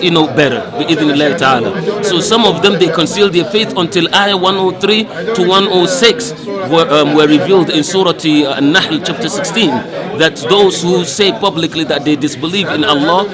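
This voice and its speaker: A man from Ghana, talking outdoors in a city in an earnest, repetitive, preaching way, with some joking. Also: sits high. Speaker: community member. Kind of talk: casual preaching talk. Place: outdoors in a city among a crowd. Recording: clear crowd noise behind.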